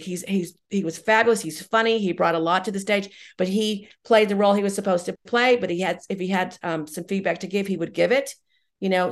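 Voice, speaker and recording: A middle-aged woman answering interview questions conversationally as a podcast guest, enthusiastic and emphatic, often repeating herself. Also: lowish voice. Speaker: podcast guest, audio drama writer-director.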